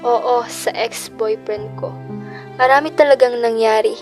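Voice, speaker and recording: A woman plays a grown Filipino daughter reflecting with regret in a radio drama. Her voice is fairly high, with music clearly playing behind it. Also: fairly even intonation, unhurried, close to an everyday voice. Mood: regretful, reflective. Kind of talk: dramatic first-person radio drama narration.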